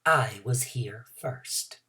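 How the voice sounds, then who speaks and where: A woman voicing a stubborn little kindergarten girl who talks in a slow, sulky, snappish way. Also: extremely low pitch, lively intonation, sharp tone, exaggerated voice.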